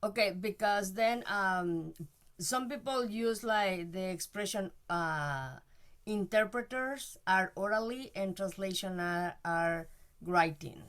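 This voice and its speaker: A woman from Mexico whose first language is Spanish speaks slowly at a middle pitch. She joins an informal online meeting as a participant, choosing her phrasing carefully. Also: fairly bright tone. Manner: careful, non-native phrasing.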